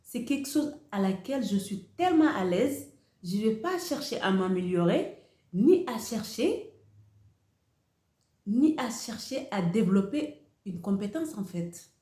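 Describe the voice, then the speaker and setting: Slow-speaking woman, echoey room. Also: medium pitch, very animated, dark tone. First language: Wolof. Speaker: host of a motivational channel. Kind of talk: motivational talk to camera.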